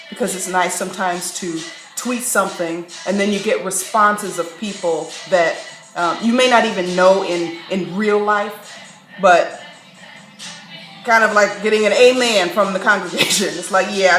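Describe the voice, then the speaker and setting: A woman from Chicago telling a story to camera in a candid, wry vlog, breaking into laughs and animated asides. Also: mid-range voice, unhurried. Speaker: vlogger. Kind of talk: casual storytelling monologue. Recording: echoey room, faint background music.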